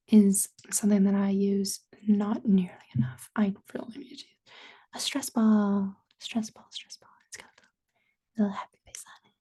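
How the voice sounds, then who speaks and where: A woman's voice: medium pitch, warm tone. A young adult woman with a Canadian accent whispering casually in a rambling, apologetic ASMR style, close to the microphone. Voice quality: breathy whisper. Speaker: ASMR video creator.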